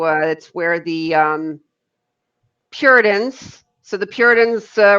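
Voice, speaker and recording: A woman with a North American accent, explaining things to a learner in casual conversation, in measured phrases. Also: medium pitch, a bit bright. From Canada and the northeastern US. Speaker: conversation partner. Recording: dull lo-fi online call audio.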